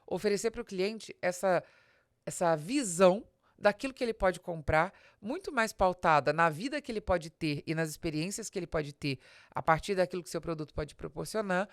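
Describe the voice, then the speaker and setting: A woman's voice: on the low side, lively intonation, normal speed, no room sound, studio quality. A woman with a Brazilian accent giving an explanatory business talk as a podcast host, speaking straight to the listener in a didactic way with emphatic stresses.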